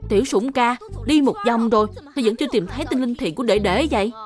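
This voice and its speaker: A woman's voice: a little high, fast talker, a little mellow, natural voice. A woman voicing a naive, earnest little boy, an older brother in a children's cartoon. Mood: curious. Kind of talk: Vietnamese dubbed children's cartoon acting.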